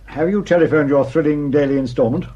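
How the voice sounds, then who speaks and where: A man's voice: fairly high pitch, quick pace, dark tone, muffled recording, lightly acted. A man acting a courteous, inquisitive police superintendent in a radio drama. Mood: courteous, curious.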